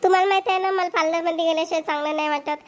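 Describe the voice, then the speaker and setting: A woman's voice: very high-pitched, fairly even intonation, racing. A woman playing a spoiled, argumentative young wife in a cartoon, whiny and defiant, with a Vidarbha Marathi accent. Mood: demanding. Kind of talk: amateur cartoon voice acting.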